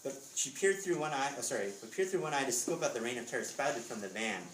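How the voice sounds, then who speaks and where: A man's voice: medium pitch, sing-song, fast, fairly bright tone. A man reading a story aloud as an author at a readers theatre, with casual asides. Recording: echoey room, distant mic, background noise.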